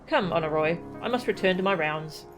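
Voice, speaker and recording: A woman's voice: fairly low pitch, fairly quick, natural voice. Casting: breezy, haughty aristocratic knight for a fantasy game, performed by a woman, mannered old-world phrasing, over music. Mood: haughty, sardonic. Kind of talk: reading game dialogue aloud in character.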